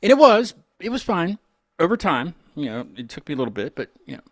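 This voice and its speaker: An American man hosting an interview show, chatting casually in a rambling, halting way. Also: fairly high pitch, very animated, no room sound. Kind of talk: casual chat.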